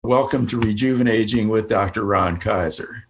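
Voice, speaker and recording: A man hosting a podcast who reads a measured guest introduction, drawing out some words, and then asks questions. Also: on the low side, creaky, slight background noise. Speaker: podcast host.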